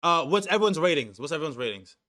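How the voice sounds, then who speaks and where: A man's voice: a little high, sing-song, quick pace, fairly bright tone. A young man co-hosting a casual anime discussion stream, profane and loose, reading chat messages aloud and restarting his sentences. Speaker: stream co-host.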